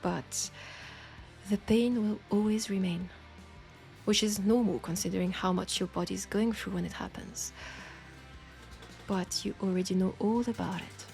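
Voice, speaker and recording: A woman's voice: mid-range voice, steady tone, unhurried, vocal fry, darkish tone, soft background music. A woman with a French accent playing a teasing, nurturing witch who tames werewolves, chatting playfully and coaxingly to the listener in an audio roleplay. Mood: gentle, reassuring. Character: teasing, nurturing female witch, self-styled werewolf tamer.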